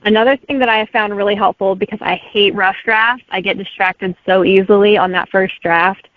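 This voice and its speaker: A woman author as a guest, speaking in a relaxed, friendly way and laughing easily. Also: mid-range voice, fairly quick, dry recording. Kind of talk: casual interview chat. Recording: dry, tinny phone-like line.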